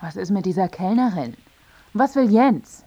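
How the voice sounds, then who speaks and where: A woman's voice: mid-range voice, expressive, normal speed, dark tone, highs cut off. A woman playing a disgruntled, suspicious working-class woman in a radio comedy, blunt and colloquial. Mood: suspicious, nagging. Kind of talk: radio comedy drama acting.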